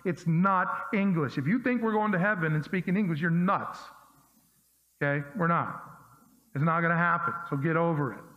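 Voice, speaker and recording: A man's voice: fairly high pitch, fairly bright tone. A man preaching a sermon as pastor, talking to his congregation in a conversational, emphatic way and firing off punchy rhetorical questions. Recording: dull lo-fi sound with long hall reverb.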